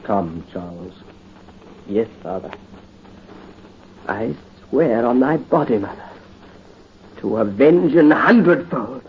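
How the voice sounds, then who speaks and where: A man's voice: medium pitch, lively intonation, slow pace, pauses often. An actor playing a fervent young French nobleman devoted to vengeance and to his father. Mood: hushed. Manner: fervent, swings from hushed vows to frenzied cries. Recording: dull, faintly hissy 1930s radio recording.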